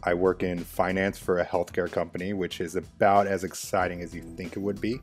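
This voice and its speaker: Dry deep-voiced man in his thirties. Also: little pitch movement, brisk, soft background music. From Oklahoma, USA. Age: 30s. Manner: dry, wry. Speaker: contestant. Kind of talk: casual self-introduction. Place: room at home.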